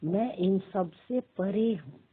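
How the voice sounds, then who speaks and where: Female meditation guide, extremely slow delivery with long pauses, breathy-rough tone, muffled telephone-grade sound. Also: mid-range voice, lively intonation. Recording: muffled narrow phone-like audio.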